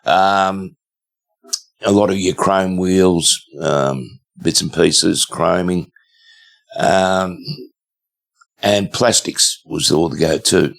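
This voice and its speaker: A man in his seventies with an Australian accent, a guest telling stories in a relaxed, rambling way with many fillers. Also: low-pitched, extremely slow, creaky, pauses often. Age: 70s. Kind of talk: casual storytelling interview.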